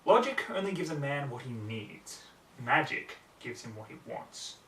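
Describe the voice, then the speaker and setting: A man's voice: medium pitch, big pitch swings, normal speed, pushed and bright. A man reading scripted fiction aloud as an amateur audiobook narrator. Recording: roomy, distant mic, faint background noise.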